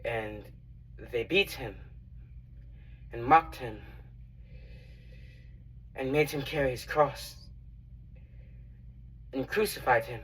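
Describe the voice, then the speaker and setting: A young man playing a reverent, earnest disciple who recounts his memories in a halting, reflective dramatic monologue. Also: mid-range voice, a bit bright, recorded from a distance, a little hiss or hum, low-quality recording. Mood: grave, sorrowful. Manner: halting, reflective, with long pauses.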